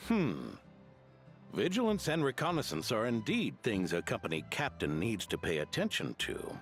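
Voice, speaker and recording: An actor playing an inquisitive, dry-witted senior knight in a video game, with a wry, probing manner. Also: male voice, mid-range voice, big pitch swings, normal speed, soft background music.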